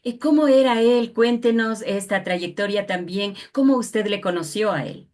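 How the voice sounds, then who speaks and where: A woman's voice: medium pitch, normal speed, darkish tone. A woman with an Ecuadorian accent conducting an interview in a formal, respectful manner. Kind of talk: radio interview.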